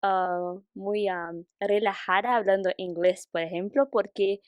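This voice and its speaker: A young adult woman whose first language is Portuguese, a guest and international student, speaking carefully and pausing to search for words. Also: medium pitch, steady tone, smooth, dry recording, studio quality. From Brazil. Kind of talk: casual interview chat.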